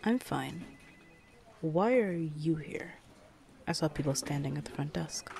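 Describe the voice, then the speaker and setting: Woman as a gravelly, stern office enforcer. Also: low voice, lively intonation, measured pace, darkish tone, slight background noise, full character voice. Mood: curt, cold. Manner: curt, commanding, guarded. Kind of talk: audio roleplay acting.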